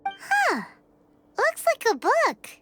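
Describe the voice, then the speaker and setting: A woman voicing a small, excitable, curious childlike fairy companion in a video game, squeaky and exclamatory. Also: extremely slow, heavily acted. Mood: chatty. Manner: exclamatory, refers to self in third person. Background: game music.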